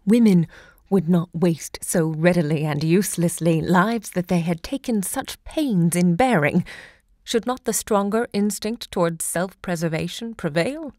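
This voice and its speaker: Woman acting grand salon hostess, audio drama, slow deliberate declamation, lowish female voice, dry close recording. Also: warm tone, dry recording, close to an everyday voice. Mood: impassioned, defiant. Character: Natalie Barney, grand, impassioned literary salon hostess looking back. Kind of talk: theatrical audio drama monologue.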